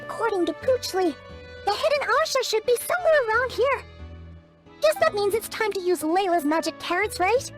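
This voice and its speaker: A woman voicing a tiny, excitable floating fairy girl companion in a video game, with a squeaky childlike voice and exclamatory delivery. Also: normal speed, soft background music. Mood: excited. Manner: exclamatory, refers to self in third person. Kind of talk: animated video game dialogue.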